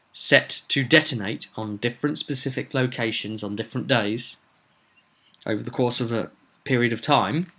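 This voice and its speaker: A man speaking as a game master, explaining a tabletop campaign informally with halting phrasing and dramatic storytelling emphasis. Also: lowish voice, pushed and bright.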